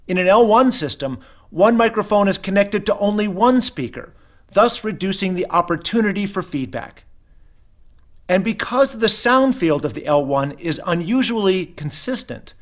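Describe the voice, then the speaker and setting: Guy narrating a product explainer, voice a bit on the high side, measured and instructional. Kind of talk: scripted narration.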